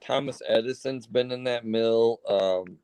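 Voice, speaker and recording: A man from Michigan hosting a casual group video call, telling a story in a halting, rambling way. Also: mid-range voice, a little mellow, clear, resonant tone. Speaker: host.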